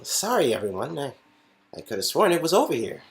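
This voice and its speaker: A man's voice: on the high side, sing-song, brisk, pitched above the performer's own voice. A man voicing an evasive, flustered young man in a romance game, stammering and over-eager. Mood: sheepish. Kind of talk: hammy visual-novel dub.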